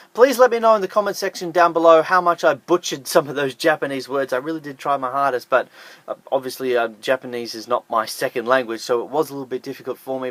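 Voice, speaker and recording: A man's voice: a little high, a bit bright, no echo. A man hosting an online video, narrating a scripted countdown list in an upbeat, emphatic way with jokey asides. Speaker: YouTube host.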